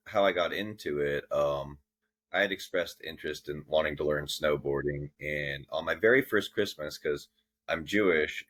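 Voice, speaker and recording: A young man from the Pacific Northwest, a podcast guest, chatting casually and rambling with lots of fillers. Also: a bass voice. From Vancouver, Washington. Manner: relaxed, rambling with many fillers. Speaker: podcast guest, snowboard instructor. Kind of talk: casual chat.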